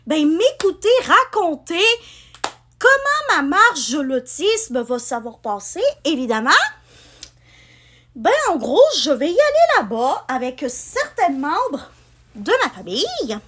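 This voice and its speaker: A woman's voice: high voice, fairly bright tone. A young woman from Quebec with a partly France-style accent, chatting to camera in a rambling way with many fillers. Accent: Quebec French, with some France-style features. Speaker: vlogger. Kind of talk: casual chat to camera.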